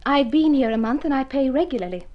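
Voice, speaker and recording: High-voiced, earnest young pianist. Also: female voice, expressive, fast, muffled recording. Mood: earnest, hopeful. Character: earnest, wide-eyed young female pianist, eager for success. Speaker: radio drama actress. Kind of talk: golden age radio drama acting.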